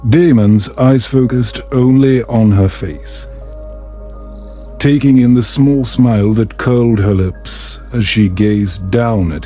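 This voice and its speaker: A man narrating a story in a calm, soft, soothing and measured way, meant for bedtime. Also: mid-range voice.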